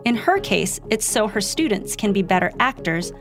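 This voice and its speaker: A woman's voice: medium pitch, sing-song, normal speed, music in the background. A woman from the Philippines hosting a podcast, chatting conversationally and guiding the segments. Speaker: podcast host.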